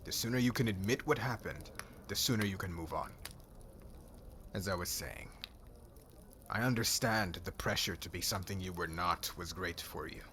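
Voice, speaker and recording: A man playing a stern, regal young warrior king who speaks in a formal, measured, commanding and brotherly way in an audio roleplay. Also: low voice, sharp tone, a little hiss or hum. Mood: firm, earnest. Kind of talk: ASMR audio roleplay drama.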